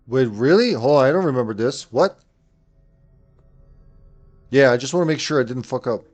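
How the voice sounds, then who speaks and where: A man's voice: medium pitch, lively intonation, moderate pace, darkish tone, faint music behind. A man in his late thirties giving live commentary on a game, chatting, thinking aloud and answering chat. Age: late 30s. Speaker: streamer.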